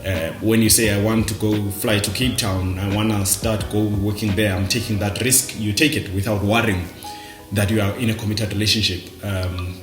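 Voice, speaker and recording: A South African man talking casually and reflectively to camera, halting and repeating words as he goes. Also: lowish voice, steady tone, reverberant, over music. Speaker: vlogger. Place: indoors, dim room at night.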